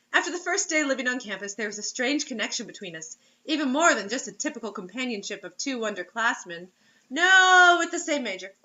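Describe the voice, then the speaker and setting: A woman's voice: high voice, lively intonation, moderate pace, bright, forceful tone, dull audio. A woman reading a story aloud as host, in a mocking dramatic way, breaking off for sarcastic casual asides.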